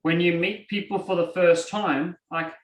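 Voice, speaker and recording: A man's voice: high-pitched, moderate pace. Australian man teaching, roomy and distant. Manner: lively, conversational, acts out examples. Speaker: NLP trainer. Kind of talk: teaching a live online class. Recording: roomy and from off the mic.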